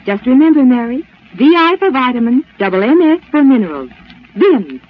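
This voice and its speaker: An animated, confiding housewife. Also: female voice, sits high, normal speed. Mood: chatty, persuasive. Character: chatty, confiding housewife selling vitamins. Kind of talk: 1940s radio advertisement. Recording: narrow, tinny 1940s radio commercial.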